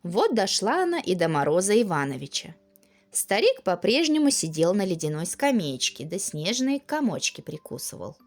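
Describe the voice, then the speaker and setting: A woman narrating a children's fairy tale in an expressive, singsong storytelling manner. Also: medium pitch, normal speed. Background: music.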